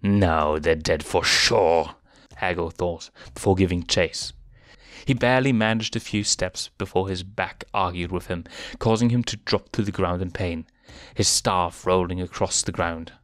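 A man reading fan fiction aloud in a steady storybook narration. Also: low voice, moderate pace, no room sound, studio quality.

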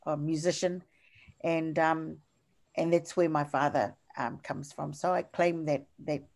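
An older woman with a New Zealand accent giving a lecture over slides on a video call, halting, with many fillers and restarts. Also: sits low, steady tone, creaky, a little mellow.

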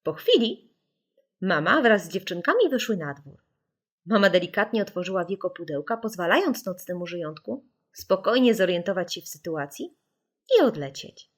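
A woman narrating a children's audiobook in an expressive, scripted storytelling style. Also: on the low side, normal speed. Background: music.

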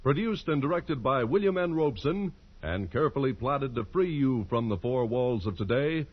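A male network announcer speaks in formal 1940s radio style. His pitch is average, and the vintage recording sounds muffled. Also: expressive, moderate pace.

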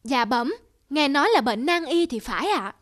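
Woman voicing a sly, high-pitched male court official. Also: expressive, moderate pace, a bit bright. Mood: feigned deference. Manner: insinuating. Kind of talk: historical cartoon folktale dub.

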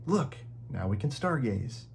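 A man's voice: medium pitch, very animated, unhurried, vocal fry, a little hiss or hum, voice pushed up. A man voicing a small, cheerful, eager eraser in a children's storybook, exclaiming playfully. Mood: pleased, playful. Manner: exclaiming, upbeat.

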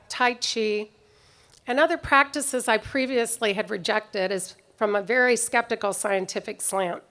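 A middle-aged woman giving a prepared commencement speech at an outdoor podium microphone, in a measured, reflective way. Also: fairly high pitch, fairly bright tone. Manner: measured, reflective delivery reading from notes. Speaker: commencement speaker. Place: outdoor ceremony stage.

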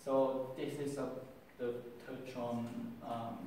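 A man's voice: mid-range voice, little pitch movement, slow, dark tone, a little hiss or hum. Young guy giving a talk, lots of ums, sounds far off and roomy. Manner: hesitant, many ums and restarts. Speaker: meetup speaker. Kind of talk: presentation and Q&A. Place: small room with wall art and screen. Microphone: far camera, no mic. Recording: echoey room from far off.

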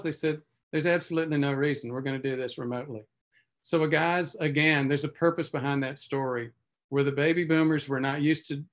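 A man in his sixties, a physician hosting a casual video-call update, talking conversationally and reading viewer comments in a self-deprecating way. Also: fairly high pitch. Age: 60s. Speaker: physician host. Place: home office on video call. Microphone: video call webcam mic.

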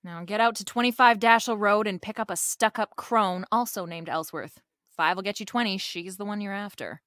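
A woman playing a brisk wisecracking lady detective, close and dry. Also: medium pitch, a bit bright, dry recording, natural voice. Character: brisk, wisecracking female private detective, bossy and sure. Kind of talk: old-time detective radio drama with noir narration.

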